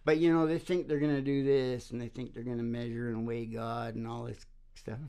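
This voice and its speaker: A man's voice: mid-range voice, flat delivery, fairly quick, dark tone, clean tone. A man hosting a casual philosophical chat, talking in a rambling, folksy, preachy way and often checking that he is agreed with. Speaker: host.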